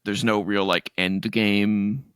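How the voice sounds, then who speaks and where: A man co-hosting a casual gaming podcast, laughing often and reading out news items in a relaxed drawl. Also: lowish voice, slow pace, smooth, no room sound, clean studio sound. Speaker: podcast co-host.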